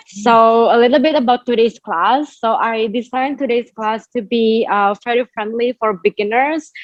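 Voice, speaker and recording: A woman's voice: mid-range voice, no room sound. Reassuring young woman, muffled call audio. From Indonesia. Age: 20s. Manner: reassuring, encouraging. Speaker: yoga instructor. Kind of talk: informal instruction to an online class. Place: large empty sports hall. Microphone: video call, laptop a few feet away.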